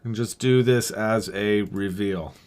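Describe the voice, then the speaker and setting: A man's voice: lowish voice, slow pace, darkish tone. A man giving casual livestream commentary as a game developer, thinking aloud as they work and answering chat. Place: indoors at a computer desk.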